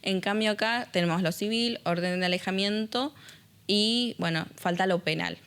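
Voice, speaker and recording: A woman's voice: medium pitch, normal speed, fairly bright tone, clear, resonant tone. A woman activist being interviewed as a guest, speaking matter-of-factly. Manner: matter-of-fact, informative. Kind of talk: interview answers.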